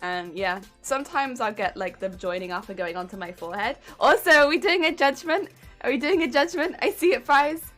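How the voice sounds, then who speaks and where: A woman's voice: high-pitched, a little fast, a bit bright, faint music behind. A woman streamer chatting casually while she crafts, with a weak, warbly voice that is a little congested from hay fever, chatty and giggly as she greets her viewers. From United Kingdom. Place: home craft room.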